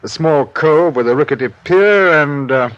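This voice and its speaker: A man's voice: on the high side, normal speed, fairly bright tone, dry recording, close to an everyday voice. A man playing a courteous, cautious British colonial police inspector in an old-time radio drama, polite and understated. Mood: musing. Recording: dull vintage radio recording.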